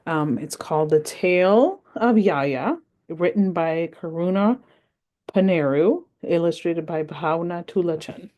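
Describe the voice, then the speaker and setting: A woman's voice: fairly low pitch, measured pace. A woman reading a picture book aloud to a school, friendly and chatty between the pages. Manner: friendly, with fillers, animated. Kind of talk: children's read-aloud narration.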